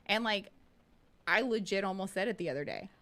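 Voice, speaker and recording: A woman's voice: medium pitch, expressive, a little fast, a bit bright. A woman in her forties chatting casually and candidly as a podcast co-host, swearing freely. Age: 40s. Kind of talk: casual chat.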